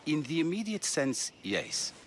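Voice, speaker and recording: A man's voice: a little high, big pitch swings, unhurried, slight background noise. A man playing a serious, busy physician of ancient Greece, grave and didactic, in a video game. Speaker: game character. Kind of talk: video game cutscene acting.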